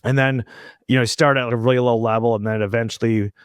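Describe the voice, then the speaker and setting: A man's voice: mid-range voice, fairly even intonation, fast, clear, resonant tone, no echo. Casual male podcast host, dry sound. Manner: informal, frequent "like" and "you know". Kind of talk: casual chat.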